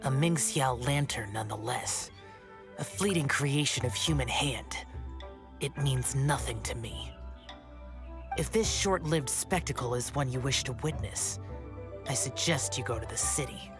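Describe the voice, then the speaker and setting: An aloof young immortal man from a video game. The voice sits extremely deep, with curt, formal, dismissive phrasing. Clear music runs underneath. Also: unhurried. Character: aloof, brooding young male immortal guardian.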